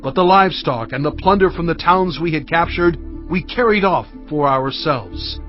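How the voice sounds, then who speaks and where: A man as Moses, earnest and measured. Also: a little high, animated, lo-fi sound, soft background music, close to an everyday voice. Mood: solemn. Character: Moses, an aged leader recounting conquests. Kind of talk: dramatised scripture reading.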